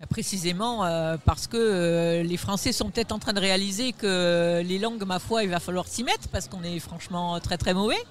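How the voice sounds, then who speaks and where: A woman's voice: on the low side, moderate pace, hardly any pauses, slight background noise. A woman language teacher answering radio interview questions at an associations fair, composed and agreeable.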